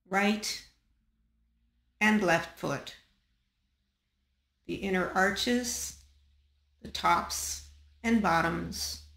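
Woman, meditation guide, calm and measured, slow delivery, long pauses, roomy echoing recording. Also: medium pitch, vocal fry. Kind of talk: guided body scan meditation.

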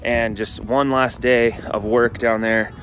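A man's voice: medium pitch, little pitch movement, slow pace, fairly bright tone, faint music behind. Casual American man vlogging outdoors. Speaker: vlog host. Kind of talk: casual vlog chat to camera. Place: outdoors on an open rural building site.

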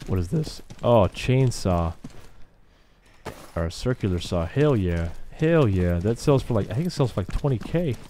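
A man's voice: lowish voice, lively intonation, moderate pace, mellow, a little hiss or hum. A man doing casual, sweary gameplay commentary, muttering to himself as he plays. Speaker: gamer, video host.

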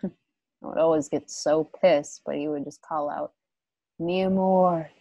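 A woman playing a guarded, grieving widow in a western radio play, speaking in halting, understated phrases. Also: low-pitched, fairly even intonation, mellow, no echo, rough recording. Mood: wistful, tender. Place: home, on a group video call.

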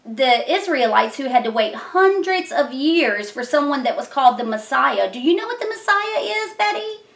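A woman's voice: high-pitched, very animated, moderate pace, vocal fry. A middle-aged woman hosting a children's puppet show, telling Bible stories in a simple, explanatory way. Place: small decorated room indoors. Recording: echoey room.

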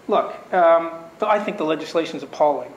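A man's voice: a little high, animated, moderate pace, lo-fi sound, a little hiss or hum. A man on a conference law panel, talking bluntly and emphatically and firing off rhetorical questions. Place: conference room. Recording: roomy echo.

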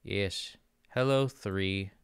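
A young man talking slowly and unhurriedly on his stream, his voice smooth and clean. He jokes and boasts playfully, stumbling through beginner Portuguese between chat replies, close on a studio mic in a dry little room. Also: sits low, dry recording. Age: young adult. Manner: jokey, playfully boastful, mixes in halting learner Portuguese. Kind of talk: casual live-stream chat, reading chat aloud. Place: small home room. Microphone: studio mic on arm with headphones.

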